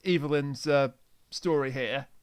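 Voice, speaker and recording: A man's voice: mid-range voice, a little slow, smooth. A man hosting a podcast, casually recapping a story in a jokey way and acting out bits of dialogue. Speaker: podcast host.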